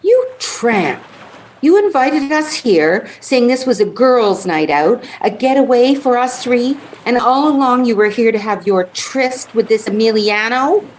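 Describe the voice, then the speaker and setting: A woman playing a scandalized, scolding friend in a radio play, with indignant drawn-out delivery. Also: a little high, lively intonation, a little hiss or hum, rough recording.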